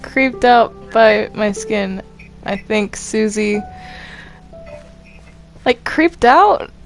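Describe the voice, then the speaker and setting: A woman's voice: mid-range voice, expressive, drawn-out words, soft background music, low-quality recording. A woman chatting casually while gaming, giggly and rambling as she reacts aloud. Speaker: player in a Minecraft roleplay group.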